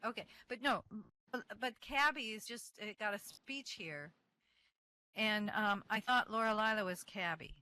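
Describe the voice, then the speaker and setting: A woman's voice: medium pitch, normal speed, bright, forceful tone, rough recording. A woman directing a group reading, talking casually with many hesitations and restarts. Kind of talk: casual coordinating talk.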